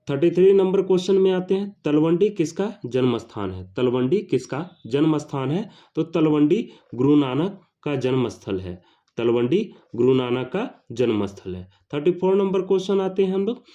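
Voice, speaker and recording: A male teacher works through exam questions, repeating each question and each answer. His voice is warm and mellow. The recording sounds dull and lo-fi. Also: medium pitch.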